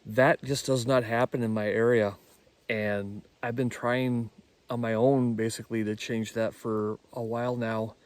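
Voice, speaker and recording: A man's voice: medium pitch, level tone, measured pace. A man talking casually and enthusiastically in an informal vlog as an amateur radio operator.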